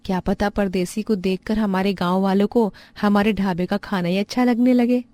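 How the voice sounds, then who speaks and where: Lady doing humble young village wife from a cartoon story, kind n earnest, gentle plain talk, dry studio sound. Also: medium pitch, fairly even intonation, moderate pace, dry recording, lightly acted.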